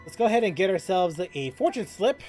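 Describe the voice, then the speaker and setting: Animated gaming streamer over music. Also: medium pitch, a little fast. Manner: animated, joking, mildly profane, reads chat aloud. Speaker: gaming livestreamer. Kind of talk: casual livestream chat with game commentary. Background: background music.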